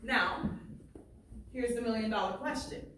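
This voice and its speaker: A woman teacher reading aloud and questioning her class in a deliberate way, repeating phrases for emphasis. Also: fairly high pitch, fairly bright tone. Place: indoor classroom. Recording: echoey room, far from mic, faint noise.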